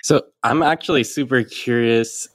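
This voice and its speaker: A man's voice: mid-range voice, unhurried, fairly bright tone, dry recording. A man hosting a podcast, asking casual questions full of fillers. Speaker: podcast host.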